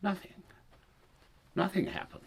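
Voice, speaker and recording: An actor playing a deluded, obsessive murderer in a radio play, theatrical and emotional. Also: male voice, a little slow, natural voice. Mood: subdued, wounded. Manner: theatrical, emotional, swinging between hushed denial and outburst. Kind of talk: radio drama acting.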